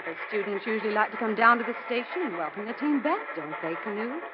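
A woman's voice: fairly high pitch, expressive, fast, natural voice. Woman acting as patient wry wife, old 1940s radio drama, supportive, muffled sound. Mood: puzzled. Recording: muffled 1940 radio broadcast.

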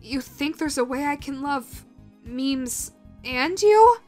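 A high-pitched, nervous young woman, played by a voice actress, awkward and down on herself in a romantic comedy audio roleplay. She speaks slowly and haltingly, stammering as she gets flustered. Faint music plays behind her. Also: lively intonation, darkish tone. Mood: agitated, despairing. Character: awkward, self-deprecating young meme-obsessed woman flustered by love.

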